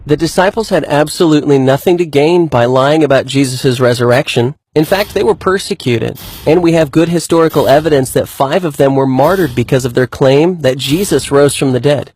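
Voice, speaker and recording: A man's voice: a little high, brisk, few pauses, darkish tone, slightly rough, no room sound. An actor playing an earnest male believer who explains his case methodically and articulately in a scripted skit. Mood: reasoned, emphatic.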